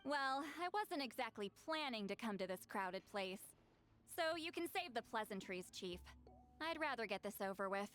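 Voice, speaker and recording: Huffy, prickly young shaman girl, bright and springy in pitch, for a game. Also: expressive, normal speed, faint music behind. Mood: curt. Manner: brusque and huffy. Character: proud, prickly young female shaman feared as a granny.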